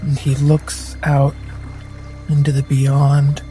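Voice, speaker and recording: Slow, mellow, even-toned male roleplayer. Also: mid-range voice. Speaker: tabletop roleplay player. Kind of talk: improvised third-person character narration. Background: background music.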